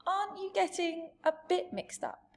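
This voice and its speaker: A woman's voice: extremely high pitch, steady tone, normal speed, voice pushed up. A woman voicing a polite, wary young girl who asks questions in a storybook tale. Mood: puzzled. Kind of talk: storybook character voice.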